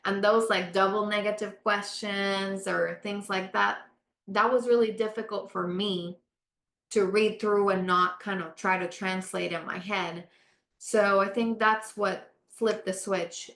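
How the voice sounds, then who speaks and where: Woman from panama, middle pitch, earnest storyteller, echoey room. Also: steady tone, a little slow, a bit bright. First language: Spanish. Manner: earnest, storytelling, emphatic repeats. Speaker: guest, architect. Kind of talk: casual interview chat.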